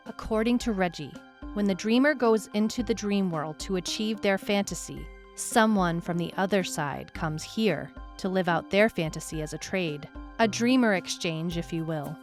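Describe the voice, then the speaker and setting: A woman playing a brooding detective who narrates a supernatural case in a measured, deliberate way, as in a scripted audio drama. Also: lowish voice, natural voice. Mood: intrigued, measured. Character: brooding female detective recounting a supernatural missing-person case. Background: music.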